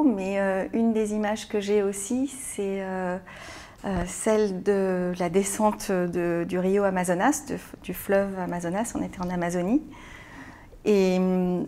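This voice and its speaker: A Frenchwoman in a sit-down interview, speaking at a middle pitch. She answers thoughtfully, stops often to find her words and repeats them before going on. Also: dark tone. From France. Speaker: interview guest, business owner. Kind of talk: hesitant conversational interview answers.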